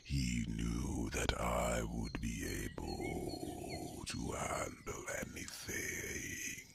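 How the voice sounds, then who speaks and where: A man playing a proud, solemn, fatherly dragon in a whispered ASMR fantasy roleplay, with a gravelly, breathy growl and grandiose delivery. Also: a bass voice, expressive, heavy background noise, full character voice. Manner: slow, solemn, grandiose storytelling.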